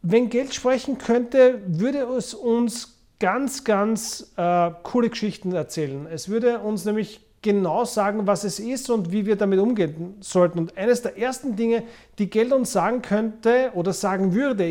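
A man's voice: high-pitched, unhurried, darkish tone. A man in his mid-forties with a Viennese accent talks as a podcast host. He explains things off the cuff and often doubles a word to stress his point. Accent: Viennese Austrian. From Vienna, Austria. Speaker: podcast host, former investment banker. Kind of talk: unscripted podcast talk about money.

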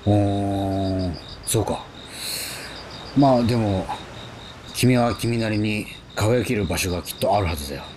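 An actor playing a kindly, apologetic middle-aged man from the future in a radio drama, speaking softly and reassuringly. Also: fairly low pitch, unhurried, long pauses, background noise, close to an everyday voice.

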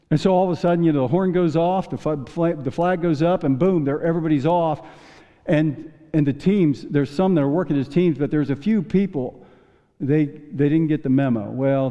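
A male pastor preaching a sermon in a folksy storytelling manner, stumbling into restarts and chuckling now and then, with a voice he says is going hoarse. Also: fairly high pitch, mellow. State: losing his voice. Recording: dull long church reverb.

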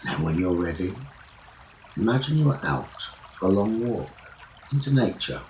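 Deep-voiced man guiding a meditation. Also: lively intonation, a little mellow. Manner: soothing, measured guiding delivery in short phrases. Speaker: meditation teacher. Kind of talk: guided sleep meditation, instructional narration. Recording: roomy echo, running water noise behind. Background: trickling stream water nature sounds.

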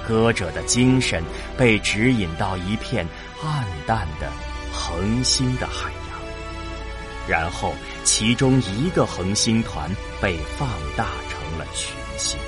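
A man's voice: lowish voice, expressive, slow. A man narrating a science fiction audio drama as a scripted, dramatic story. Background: prominent background music.

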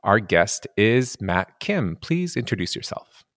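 A man hosts a relaxed interview and speaks in a lowish voice. He is easygoing and loose with fillers, and he laughs along with his guest. The sound is close and dry. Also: measured pace, no echo. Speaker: podcast host, indie game producer. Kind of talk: casual interview.

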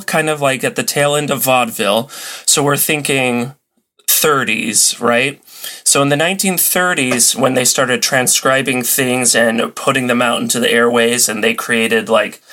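A man's voice: mid-range voice, pushed and bright. A man speaking as a podcast guest, explaining things in a casual, colloquial and enthusiastic way. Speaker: podcast guest, old-time radio enthusiast. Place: remote video call.

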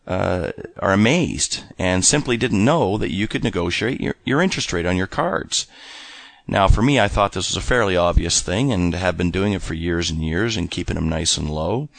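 A man's voice: on the low side, creaky, fairly bright tone, dry recording. A man giving an informal instructional talk as a personal finance teacher, often repeating and rephrasing his points.

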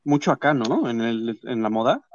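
A man with a Mexican accent hosting a casual chat and interviewing guests, slangy and talkative with quick asides. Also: medium pitch, expressive, highs cut off, a little hiss or hum. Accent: Mexican Spanish. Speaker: host.

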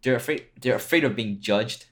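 A man's voice: mid-range voice, brisk, a bit bright. A young man chatting as a podcast guest, laid-back and teasing, laughing at his own jokes. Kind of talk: casual chat.

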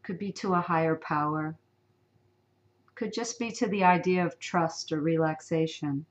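A woman leading a guided sleep meditation, giving soft, soothing instructions with long pauses. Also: low voice.

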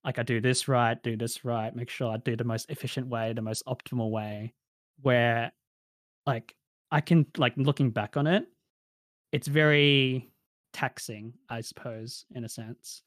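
A man's voice: medium pitch, steady tone, unhurried, no room sound. Reflective Australian man, dry sound. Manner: reflective, many fillers like "like" and "um". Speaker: interviewee. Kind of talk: casual interview answers.

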